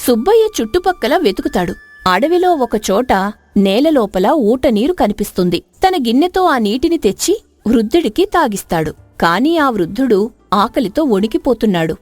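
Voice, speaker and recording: A woman's voice: on the high side, sing-song, moderate pace, a little mellow, dry recording. A woman storyteller reading a scripted moral story as narrator. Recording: dry studio narration over music.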